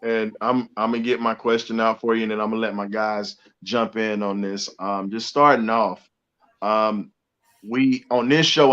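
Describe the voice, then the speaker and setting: A man's voice: medium pitch, fairly bright tone. Enthusiastic male sports show host. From United States. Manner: enthusiastic, talks fast with fillers and restarts. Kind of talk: sports talk show interview. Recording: slightly dull video call audio.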